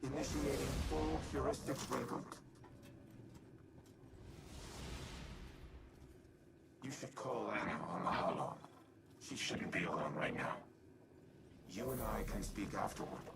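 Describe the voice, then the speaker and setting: A man playing a noble, stoic artificial intelligence in a video game cutscene, speaking formally and deliberately. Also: on the low side, animated, gravelly, very noisy. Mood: calm, procedural. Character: solemn, noble male artificial intelligence war machine. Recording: distant voice under loud effects.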